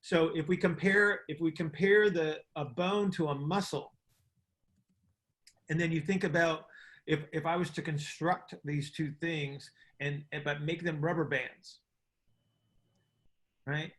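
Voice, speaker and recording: Man, fairly high-pitched, informal coaching explanation, halting repeated phrases, checking questions. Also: fairly even intonation, fairly bright tone. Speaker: host and coach teaching on a group call. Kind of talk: informal explanatory teaching on a conference call.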